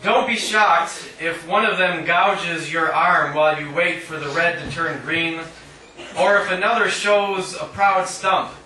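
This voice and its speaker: A man's voice: on the high side, fairly even intonation, unhurried, pushed and bright. A man reading poetry at a live reading, with a wry, sardonic manner and casual asides. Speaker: featured poet at a reading. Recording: roomy, distant mic, faint noise.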